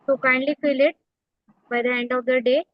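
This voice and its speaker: A woman with a high voice moderates an online session. She makes formal, polite announcements to participants. The sound is dry and dull, like a lo-fi call. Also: level tone, normal speed, dry recording.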